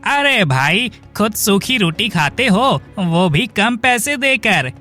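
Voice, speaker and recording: A man's voice: high-pitched, sing-song, takes it slowly, sharp tone, soft background music, heavily acted. A man voicing a mocking, dismissive villager in a cartoon story, sneering and brusque.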